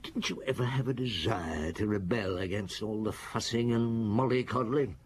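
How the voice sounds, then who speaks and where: An actor playing a shrewd middle-aged police chief in a radio drama, interrogating a suspect in an unhurried, cutting way with a husky voice. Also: male voice, lowish voice. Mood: probing.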